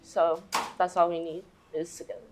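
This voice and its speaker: A young woman college softball player giving upbeat, confident interview answers. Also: fairly low pitch, level tone, normal speed, noisy background. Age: young adult.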